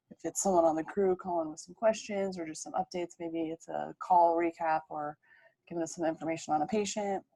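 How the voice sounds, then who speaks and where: A woman's voice: fairly low pitch, a little mellow, poor audio. A woman dispatcher explaining the job and workstation in a conversational, matter-of-fact way. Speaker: emergency dispatcher.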